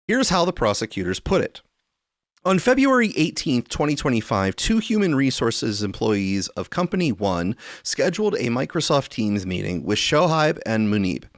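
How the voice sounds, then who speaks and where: A man's voice: mid-range voice, sing-song, normal speed, no echo, studio quality. A man hosting a podcast, chatting and reading news stories aloud. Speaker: podcast host.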